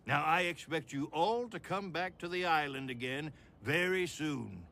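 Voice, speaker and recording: A man playing a friendly, reassuring sea captain in an animated film. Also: fairly high pitch, a little slow, slight background noise, full character voice. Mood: hearty. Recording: music underneath.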